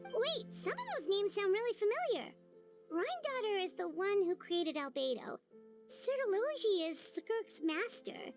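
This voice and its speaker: Very high, squeaky fairy girl. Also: sing-song, measured pace, heavily acted. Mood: realizing, excited. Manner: chirpy, inquisitive, earnest. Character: tiny, earnest, excitable childlike girl fairy companion. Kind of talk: video game character dialogue. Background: background music.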